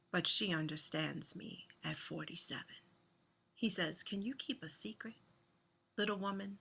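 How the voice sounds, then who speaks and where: Woman poet and host on a video call, soothing and bright, gentle and sincere. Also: fairly low pitch, vocal fry. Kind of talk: hosting an online poetry event and reciting spoken word.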